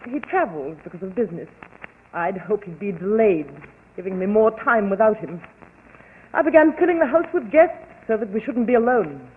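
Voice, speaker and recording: An actress playing an anxious wife confessing to a doctor, speaking in hesitant, halting bursts in 1940s radio style. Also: female voice, mid-range voice, lively intonation, close to an everyday voice. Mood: troubled. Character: anxious young wife fearing her own urges. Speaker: patient. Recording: tinny old radio broadcast with hiss.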